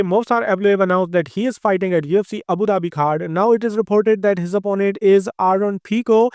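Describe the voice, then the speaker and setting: Man, Indian accent, news host, brisk list reading, smooth, dry close sound. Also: sits high, darkish tone, no room sound. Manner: brisk, reads lists of names and records. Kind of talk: scripted news roundup.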